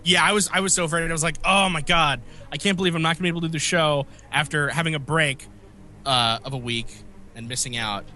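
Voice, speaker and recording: A man co-hosting a casual podcast, telling rambling stories with a slightly phlegmy, raspy voice. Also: a little high, steady tone, fast, pushed and bright. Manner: rambling, self-interrupting storyteller. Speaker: podcast co-host. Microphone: remote call mic.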